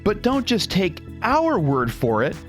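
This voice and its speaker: A man's voice: sits high, huge pitch swings, measured pace, soft background music, heavily acted. A man playing an upbeat, awkwardly cheerful corporate outreach spokesman, earnest and over-enthusiastic in a scripted comedy podcast announcement. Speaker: company spokesperson hosting customer testimonials.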